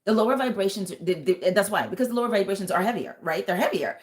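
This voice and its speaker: A woman with a thick, lower voice giving a live tarot reading and talking straight to her viewers with earnest, intuitive warmth. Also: animated, fast talker. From United States. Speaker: tarot reader and vocal coach.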